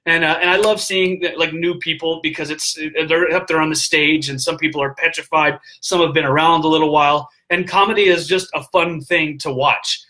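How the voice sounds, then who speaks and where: A middle-aged man streams live from a hotel room to a webcam on the desk. His voice sits high and bright, and he talks in an animated way. He scatters "um" and "all right?" through his motivational tips. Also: a little fast, hardly any pauses, low-quality recording. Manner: animated, gestures a lot, filler-heavy with frequent "all right?". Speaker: vlogger giving business tips. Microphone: webcam at desk distance.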